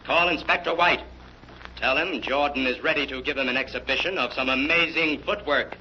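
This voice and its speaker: An actor playing a commanding, accusing avenger with authoritative declamation in 1940s radio style. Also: male voice, medium pitch, a little fast, lightly acted. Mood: stern, accusing. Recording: dull old radio recording, busy background.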